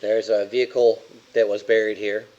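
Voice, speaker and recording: A man's voice: fairly low pitch, little pitch movement, normal speed, a little mellow. Slightly dull-sounding man at a game table. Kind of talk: casual game-table talk, reasoning aloud.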